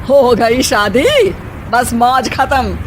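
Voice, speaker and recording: A woman's voice: fairly high pitch, fairly even intonation, slow pace, noisy background. A woman voicing a bossy, fussy middle-aged mother-in-law who scolds her daughter-in-law in a cartoon story. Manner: fussy, scolding, ends with a nagging "हैं?".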